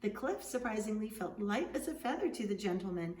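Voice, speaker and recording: A woman reading a story aloud as its author, in expressive storybook narration. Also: medium pitch, brisk. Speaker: author reading their book. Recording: roomy, distant mic, faint noise.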